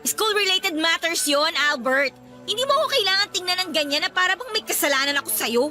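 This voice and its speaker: A woman's voice: extremely high pitch, animated, a little fast, soft background music, exaggerated voice. A woman acting as a sassy, feisty young student girlfriend in a Filipino radio romance drama, with a Filipino accent. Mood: irritated, defensive. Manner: sassy, sharp-tongued.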